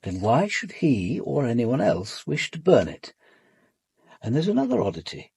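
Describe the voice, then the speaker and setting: An actor playing a reserved British police commander in a radio drama, speaking with formal, measured precision as he questions and narrates. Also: medium pitch, very animated, gravelly, a little mellow, husky, no room sound, exaggerated voice. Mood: probing, courteous. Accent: British English. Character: male police commander, measured, probing, reserved yet compassionate detective. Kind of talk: radio drama dialogue and first-person narration.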